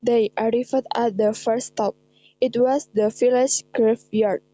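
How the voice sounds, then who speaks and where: A woman's voice: fairly high pitch, steady tone, slow, mellow, dull audio. A young woman with an Indonesian accent reading scripted horror drama narration in non-native English. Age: young adult.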